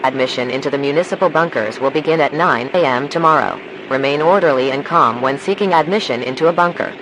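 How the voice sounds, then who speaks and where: A man playing a calm, formal emergency broadcast announcer, reading measured disaster instructions in a scripted alert. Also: fairly high pitch, background noise, natural voice. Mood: grave, instructive.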